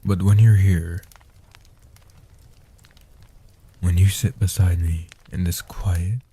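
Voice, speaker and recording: A man playing an ancient, protective male vampire in a soft-spoken comfort roleplay, speaking in a breathy, hushed, deliberate and soothing way. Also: deep voice, steady tone, full character voice. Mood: gentle, reflective. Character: ancient male guardian vampire, protective, tender, world-weary.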